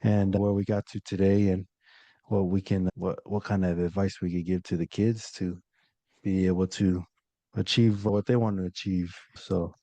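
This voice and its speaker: A man's voice: low-pitched, moderate pace, no echo. Laid-back man hosting a podcast. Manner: relaxed, laid-back, lots of fillers. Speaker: podcast host. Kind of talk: casual interview chat.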